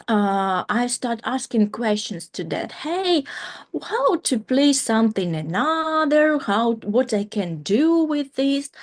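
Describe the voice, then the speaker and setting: A woman in her thirties from Ukraine with a Ukrainian accent, a guest telling her story in a casual interview, full of fillers and restarts. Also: a little high. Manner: many "like" fillers and restarts, non-native grammar. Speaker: guest, company founder.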